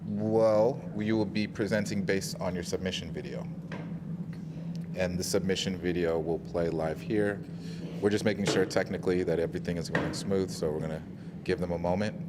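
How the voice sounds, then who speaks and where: A man hosting a live award ceremony on a conference stage, chatty and encouraging, filling pauses while the tech gets set up. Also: deep voice, steady tone. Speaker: host of hackathon award ceremony. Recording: noisy live event audio.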